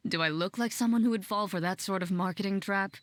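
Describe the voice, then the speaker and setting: A woman's voice: mid-range voice, fast talker, close to an everyday voice. A woman playing a composed, matter-of-fact young woman with a dry wit in a video game. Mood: wry, amused. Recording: faint background music.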